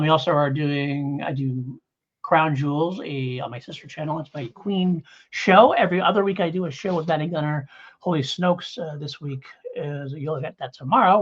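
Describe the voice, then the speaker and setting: A man's voice: on the high side, dull audio. A middle-aged man hosting a casual review podcast, talking in a rambling way and correcting himself as he goes. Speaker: podcast host.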